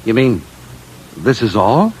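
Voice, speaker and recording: An actor playing a brisk, confident engineer and team leader in an old-time radio drama, emphatic and take-charge. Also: male voice, medium pitch, big pitch swings, slight background noise. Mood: brisk, businesslike. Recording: vintage radio broadcast sound.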